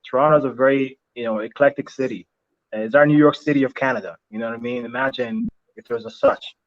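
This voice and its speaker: A young man whose first language is Italian, answering interview questions in a reflective, halting way with many restarts and fillers. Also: mid-range voice. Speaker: interview guest. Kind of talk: casual interview chat. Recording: slightly dull lo-fi sound.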